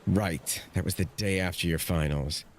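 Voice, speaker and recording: A man's voice: sits low, normal speed, slight background noise. An actor playing a protective middle-aged uncle, a former navy man, in an audio drama, earnest and pressing. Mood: reflective, tender.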